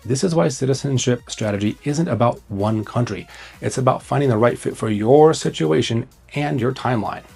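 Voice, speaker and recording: A man's voice: mid-range voice, normal speed, vocal fry, darkish tone, slightly rough, soft background music. A man presenting scripted advice to camera as a host, speaking bluntly and urgently in short, punchy, emphatic phrases. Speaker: host, immigration consultant.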